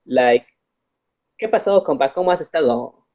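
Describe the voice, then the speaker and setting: A man from Mexico who is a native Spanish speaker with a Mexican Spanish accent, a modest and nervous guest speaking haltingly in a casual chat. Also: on the high side, lively intonation, a little mellow.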